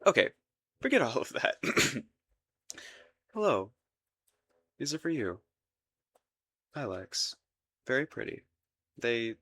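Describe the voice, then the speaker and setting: A man's voice: medium pitch, bright, forceful tone, exaggerated voice. A man playing a flustered, lovestruck young crown prince in a romantic audio roleplay, stammering and rambling nervously in formal courtly wording. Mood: shy, tender.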